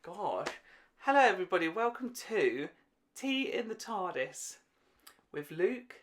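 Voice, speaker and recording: British woman podcaster, very animated, swooping delivery. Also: mid-range voice, measured pace. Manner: playful, jokey, opinionated. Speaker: podcast co-host. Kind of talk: casual podcast chat.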